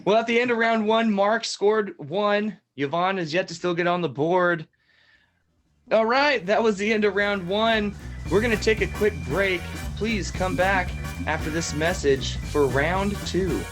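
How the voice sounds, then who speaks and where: Man playing a higher-voiced parody game show host. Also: measured pace, a bit bright, faint music behind. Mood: teasing, upbeat. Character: game show host, male, chatty and teasing.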